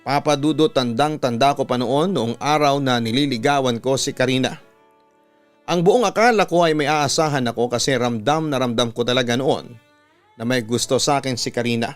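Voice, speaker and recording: A Filipino man, a radio announcer, reading out a confessional letter as a dramatic storyteller. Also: mid-range voice. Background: background music.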